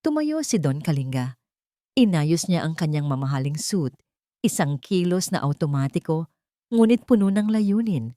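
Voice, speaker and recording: A woman's voice: deep voice, sing-song, normal speed, warm tone, no room sound, studio quality. A woman with a Filipino accent narrating a dramatic story from a script. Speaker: audiobook narrator.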